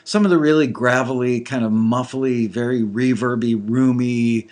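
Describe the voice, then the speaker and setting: A man with a slightly gravelly voice giving casual live commentary on listeners' songs, thinking aloud with lots of fillers. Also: mid-range voice, moderate pace, a little mellow. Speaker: music industry reviewer critiquing listener songs.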